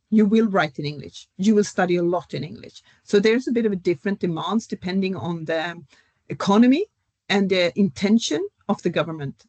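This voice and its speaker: A middle-aged professor, speaking as a guest in an academic panel discussion. She explains at a measured pace, with frequent fillers. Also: on the low side, darkish tone, dry recording. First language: Swedish.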